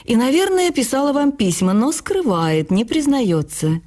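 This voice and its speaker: A woman's voice: high-pitched, big pitch swings, normal speed, mellow, pitched above the performer's own voice. A woman voicing a jovial, condescending male newspaper editor with a teasing, theatrical manner in a radio memoir.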